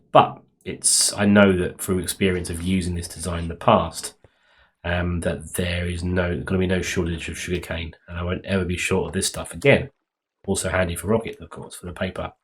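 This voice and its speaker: A man a little croaky from being sick, rambling casually through commentary on his game build with many ums and restarts. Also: sits low, a little hiss or hum. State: slightly croaky from illness. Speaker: Minecraft let's play host.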